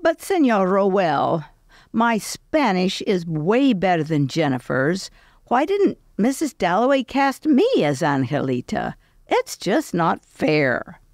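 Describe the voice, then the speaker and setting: A woman's voice: mid-range voice, very animated, slow, a little mellow, dry recording, studio quality, voice pushed up. A woman acting an indignant, jealous eighteen-year-old drama student in a radio play, whiny and protesting.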